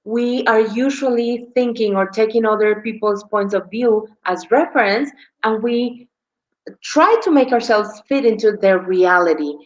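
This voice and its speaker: A woman's voice: a little high, highs cut off. A woman hosting an interview show on a video call, thanking her guest effusively and speaking with frequent fillers. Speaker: show host.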